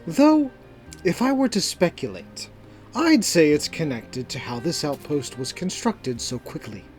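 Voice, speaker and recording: A man voicing a smooth, practiced, aloof mage with a condescending way of talking in a fantasy story. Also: on the high side, very animated, a little slow. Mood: smooth, evasive. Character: suave, aloof male mage with secrets. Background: background music.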